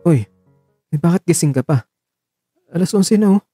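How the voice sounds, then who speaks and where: Guy doing a sweet caring boyfriend in a radio drama, mellow and close up, tender teasing. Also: on the high side, animated, normal speed, slightly rough, dry recording, soft background music, voice pushed up. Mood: concerned, caring. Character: caring, gently teasing young man in love, Marco. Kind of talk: Tagalog romantic radio drama dialogue.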